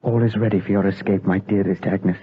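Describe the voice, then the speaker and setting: Man acting a low-voiced, earnest secret lover. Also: steady tone, brisk, close to an everyday voice. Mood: earnest, secretive. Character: earnest male secret lover reading his letter. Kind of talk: gothic radio drama acting. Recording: tinny, faintly noisy old radio.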